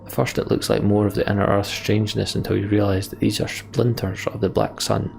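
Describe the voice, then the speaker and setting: Low-voiced man reading game text aloud. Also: flat delivery, brisk, creaky, darkish tone. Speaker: let's player.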